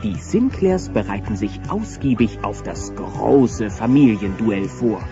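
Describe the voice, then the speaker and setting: A man's voice: on the low side, expressive, a little slow. A man narrating a children's audio play in an emphatic storytelling manner. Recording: dull cassette with music behind.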